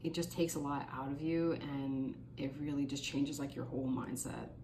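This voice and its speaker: A woman's voice: extremely low pitch, fairly even intonation, normal speed, noisy background. A woman in her late twenties giving casual motivational advice to camera, earnest and chatty, with lots of "like" and "you know". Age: 20s. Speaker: vlogger.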